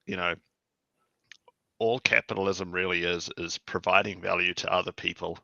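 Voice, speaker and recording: New Zealand man, bright low voice. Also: normal speed, vocal fry, dry recording, lo-fi sound. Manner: animated, repeats words when starting sentences. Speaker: podcast host. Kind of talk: casual interview chat.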